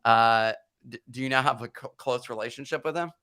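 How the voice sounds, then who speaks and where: Clear, smooth male podcast host. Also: mid-range voice, brisk, bright, forceful tone, no room sound, clean studio sound. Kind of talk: casual interview questioning.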